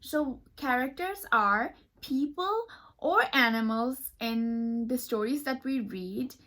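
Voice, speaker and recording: A woman teaching young children through an expressive read-aloud, addressing them as friends and pausing to explain words and pictures. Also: high-pitched.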